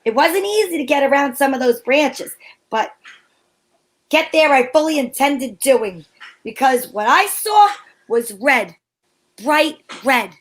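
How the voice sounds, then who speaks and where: A woman's voice: high-pitched, expressive, slow pace, low-quality recording. A woman playing a plucky, curious female private detective in an amateur old-time radio drama, wry and wisecracking. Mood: wry narrating.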